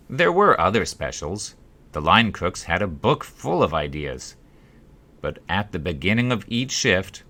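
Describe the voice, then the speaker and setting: A man reading his own first-person story as an audiobook, with measured, deliberate phrasing. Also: deep voice, pushed and bright. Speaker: author reading own book.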